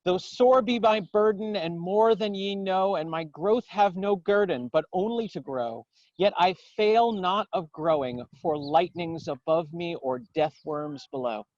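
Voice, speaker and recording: A man gives a dramatic poetry recitation outdoors, in solemn, declamatory, measured phrases. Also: high-pitched, a little mellow, no echo, dull audio. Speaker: poetry reader. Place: outdoors in a garden.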